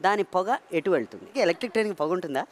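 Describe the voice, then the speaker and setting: A woman's voice: lowish voice, animated, very fast. A woman asking quiz-like questions in a show clip. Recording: very noisy, distant, clicks.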